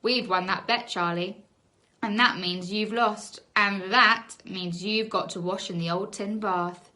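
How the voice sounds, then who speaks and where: A woman's voice: medium pitch, fairly even intonation, a little slow, pushed and bright. A woman voicing a cheeky young girl, sweetly taunting and firm, in a children's story.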